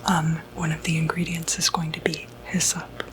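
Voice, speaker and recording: A woman whispering an ASMR roleplay as a sympathetic, non-judgmental doctor, speaking gently with reassuring, hesitant pauses. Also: sits low, little pitch movement, a little hiss or hum, rough recording. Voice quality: breathy, unvoiced whisper. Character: sympathetic, non-judgmental doctor who treats demonic possession.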